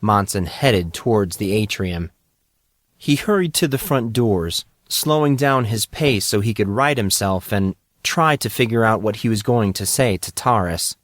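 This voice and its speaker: A man's voice: on the low side, animated, measured pace, dry recording, studio quality. A man narrating a story as a scripted dramatic audiobook reading.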